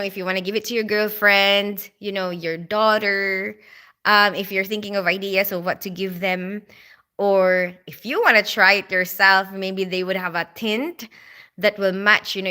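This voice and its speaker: Bright-voiced chatty Filipino woman streaming live. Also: mid-range voice. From Philippines. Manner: chatty, lots of fillers like "like" and "you know". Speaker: livestream host. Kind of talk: casual livestream chat reading viewer comments.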